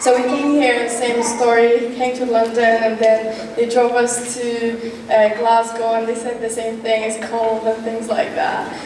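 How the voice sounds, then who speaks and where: A young woman from northern Iraq, a Kurdish speaker, talks to a crowded hall in a flat, measured way with plain wording. She sits far from the mic, and her voice echoes through a big room with a faint hum. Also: fairly high pitch. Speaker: panel speaker. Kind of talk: public talk. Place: large conference hall.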